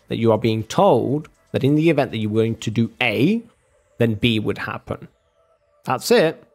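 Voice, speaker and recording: A man's voice: medium pitch, creaky, a little mellow. A man in a casual argumentative rant, sarcastic and sweary, calling the listener bro. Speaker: streamer arguing with a chat viewer.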